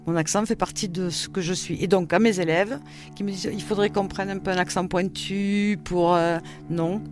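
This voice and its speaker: An older woman with a Toulouse accent, a theatre teacher, speaking firmly and deliberately in an interview. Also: fairly low pitch, fairly even intonation. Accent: southern French, Toulouse. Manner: firm, deliberate. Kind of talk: interview testimony. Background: music.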